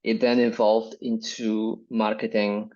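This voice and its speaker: A man from Bulgaria with a Bulgarian accent, a startup founder speaking in a measured, reflective way as an interview guest. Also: on the low side, little pitch movement, darkish tone, muffled recording. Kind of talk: business interview.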